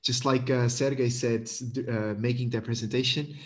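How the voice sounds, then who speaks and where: A man's voice: mid-range voice, steady tone, normal speed. A man in his thirties from Portugal, speaking English on a video call, eager and full of fillers, restarts and repeated words. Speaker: guest, community manager.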